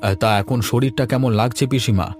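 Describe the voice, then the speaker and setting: A caring village man, played by an actor in an audio story. His voice sits at a medium pitch. He speaks in a solicitous, coaxing way, like a concerned nephew. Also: brisk, a little mellow, faint music behind, close to an everyday voice. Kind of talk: acted audio story dialogue.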